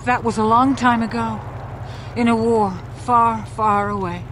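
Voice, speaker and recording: An actress playing an older, anguished but loyal betrayed wife in a video game, pleading and halting. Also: female voice, on the high side, fairly even intonation, fairly bright tone, a little hiss or hum. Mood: pleading, sorrowful.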